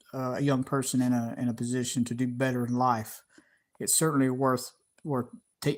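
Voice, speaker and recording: A man's voice: medium pitch, a little mellow. A middle-aged man from Georgia with a Southern American accent, hosting a casual livestream and talking in a rambling way with many fillers and restarts. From Georgia, USA. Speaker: channel host.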